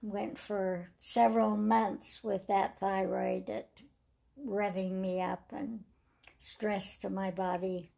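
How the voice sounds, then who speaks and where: An older woman speaks with a raspy, gravelly voice. She tells her story in a slow, measured way, letting phrases trail off softly. She sounds like she is being interviewed about her life. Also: medium pitch, little pitch movement.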